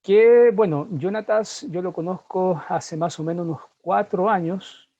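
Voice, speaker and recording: Man, course host, mellow tone, hesitant delivery, dull lo-fi dry audio. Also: sits high, unhurried, no room sound. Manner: hesitant, with frequent fillers and restarts. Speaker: course host introducing the guest. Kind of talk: formal introduction.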